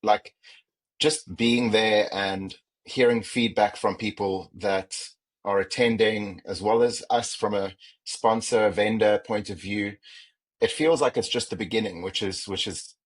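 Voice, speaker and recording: A man's voice: lowish voice, fairly even intonation, measured pace. A man hosting a podcast interview, chatting in an affirming, upbeat way. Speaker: podcast host.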